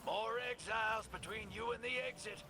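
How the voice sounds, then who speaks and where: A man's voice: sits high, moderate pace. A man playing a cocky, wry scout in a video game, with a folksy, wisecracking way of talking. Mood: alert. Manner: wisecracking, folksy, laid-back. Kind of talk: scripted video game dialogue. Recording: clear background noise of game ambience.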